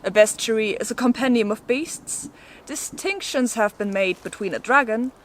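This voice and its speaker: Playful high-voiced woman presenting an explainer. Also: normal speed. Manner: playful, lightly theatrical. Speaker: video host presenting an educational explainer. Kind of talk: scripted narration with casual asides. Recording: noticeable background noise.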